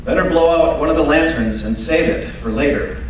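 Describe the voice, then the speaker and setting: Guy as calm brainy detective in old radio play, slightly high voice, explains stuff with authority, echoey and far from mic. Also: moderate pace, natural voice. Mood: brisk, commanding. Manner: precise, authoritative, unruffled explaining. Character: cool, confident, brilliant male detective, dryly commanding. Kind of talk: old-time radio mystery acting. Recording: very echoey hall, distant mic, faint noise.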